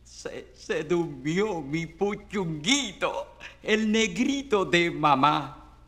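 A man as a crooning, high-voiced Caribbean mother. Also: expressive, sharp tone, slight background noise, heavily acted. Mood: relieved, doting. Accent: Caribbean Spanish. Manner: tender, crooning, drawn-out baby talk. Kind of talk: theatrical dialect poetry recitation.

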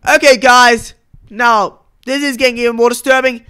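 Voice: mid-range voice, steady tone, normal speed, over music. A young adult YouTuber giving casual reaction commentary on game cutscenes.

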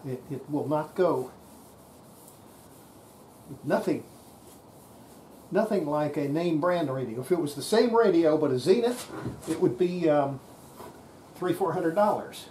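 An older radio repairer talks casually through hands-on work in a workshop. The voice sits at a middling pitch but moves up and down a great deal, in a folksy way. The camera audio carries clear noise and clicks. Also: animated, measured pace, long pauses, mellow, poor audio. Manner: folksy, talking while working. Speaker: radio repairer presenting a restoration. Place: indoor workshop.

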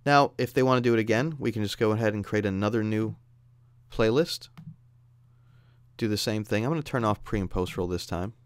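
A man's voice: lowish voice, fairly quick, a little hiss or hum. A man narrating an instructional screencast in a conversational, explanatory way. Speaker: audio software instructor.

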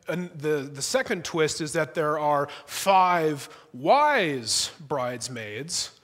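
A man's voice: high-pitched, fairly bright tone. A young man preaching a sermon as pastor in a church sanctuary, conversational with light humor and small restarts. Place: church sanctuary, large indoor room. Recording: long church reverb.

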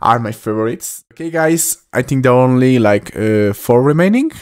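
A man in his twenties giving casual reaction commentary into a desk microphone, with slightly halting, repetitive phrasing. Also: medium pitch, animated, a little mellow, clear, resonant tone. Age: 20s. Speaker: reaction video host. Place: small indoor room at a desk. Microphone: desktop microphone up close.

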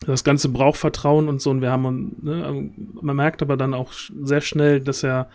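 A man's voice: mid-range voice, flat delivery, moderate pace, darkish tone. A man chatting casually and giving feedback on a video call, feeling his way thoughtfully through his sentences and often rephrasing. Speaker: tabletop role-play streamer and player. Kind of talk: casual chat, giving feedback after a game. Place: indoors on a group video call.

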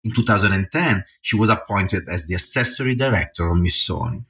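A man's voice: sits low, lively intonation, brisk, a bit bright. A man in his forties with an Italian accent, hosting a podcast interview and asking friendly questions over a video call. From northern Italy. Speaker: podcast host. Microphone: headphones on video call.

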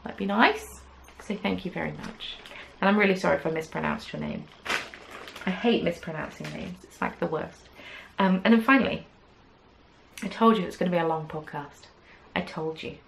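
A young woman talks yarn to the camera in a small craft room, her lowish voice carrying a gravelly, creaky edge. She rambles cheerfully, full of "um"s and little jokes, and a faint hiss of room noise sits under her speech. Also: normal speed, rough recording. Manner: chatty, rambling, many "um"s, playful asides. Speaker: knitting podcast host. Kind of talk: casual chat to camera. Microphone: camera at desk distance.

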